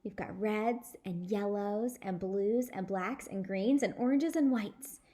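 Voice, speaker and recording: Woman as genial father, storybook character, patient, measured, explanatory, mid-range voice. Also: mid-range voice, dark tone, lightly acted. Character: genial adult father hosting a children's party game.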